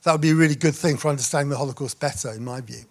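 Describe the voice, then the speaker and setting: A man's voice: mid-range voice, rapid-fire. A man on a panel making a provocative point. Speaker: panel speaker.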